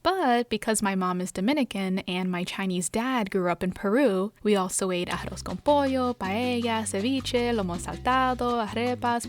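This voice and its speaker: A woman from New York, a journalist, reading a scripted personal story in a reflective, measured way. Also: medium pitch.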